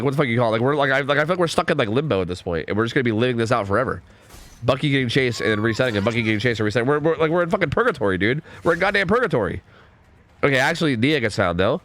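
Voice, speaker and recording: Fast, lively stuffy-nosed male caster. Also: medium pitch. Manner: excitable, swearing, filler-heavy. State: stuffy nose. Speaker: esports caster. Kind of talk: live game commentary.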